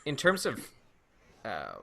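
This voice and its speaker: A man's voice: mid-range voice, huge pitch swings, slow pace, gravelly, pushed and bright. A man co-hosting a podcast, talking casually in an informal group discussion. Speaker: podcast host.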